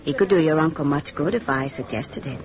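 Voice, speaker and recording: A woman's voice: low voice, fairly quick, a crowd in the background, lightly acted. A woman playing a haughty, sly, scheming society wife in a 1940s radio drama, with coquettish manners. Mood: coaxing, sly. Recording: muffled, narrow-band old radio recording.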